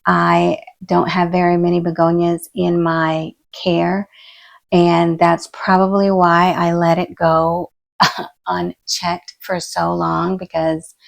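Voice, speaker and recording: A hoarse woman with a gravelly, low voice talks slowly and softly. She chats relaxed and unhurried, with plenty of little fillers. Her pitch stays flat and even. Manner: relaxed, soft-spoken, many fillers. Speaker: plant channel host. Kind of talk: casual vlog chat while repotting. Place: indoor plant room.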